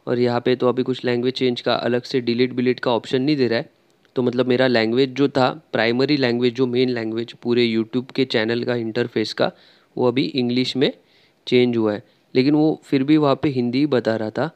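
A man's voice: mid-range voice, flat delivery, fairly quick, a little mellow, clean tone, dull audio. Chatty man walking through settings. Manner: chatty, explains step by step, frequent fillers. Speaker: tech tutorial creator. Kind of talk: screen-recorded tutorial walkthrough.

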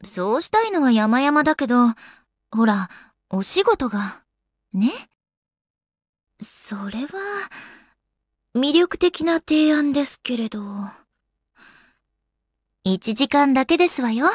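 Elegant, high-voiced young goddess lady. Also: animated, slow, long pauses, no echo, clean studio sound, exaggerated voice. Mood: gentle, reluctant. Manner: polite, ladylike, genteel phrasing. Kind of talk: Japanese anime game voice acting.

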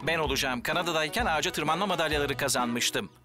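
A man's voice: a little high, quick pace, over music, lightly acted. A man voicing a hearty, competitive cartoon mountain guide with a boastful, emphatic way of talking.

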